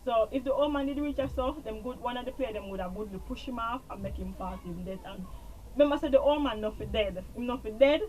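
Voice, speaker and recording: A woman's voice: on the high side, quick pace, darkish tone, rough recording. A woman with a Jamaican accent recapping a TV series in a casual, rambling way, repeating herself and trailing off with filler. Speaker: TV show reviewer.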